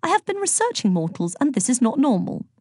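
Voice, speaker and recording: Young goddess, voiced by a woman narrator, blunt, literal, precise, matter-of-fact, higher pitch, children's storybook. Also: very animated, brisk, a little mellow, slightly rough, dry recording, clean studio sound, heavily acted.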